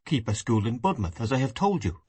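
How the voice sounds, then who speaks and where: A man's voice: mid-range voice, big pitch swings, brisk, a little mellow, husky, dry recording. A man reading a novel aloud, voicing an earnest, idealistic grown son who argues with his mother. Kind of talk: audiobook dialogue reading.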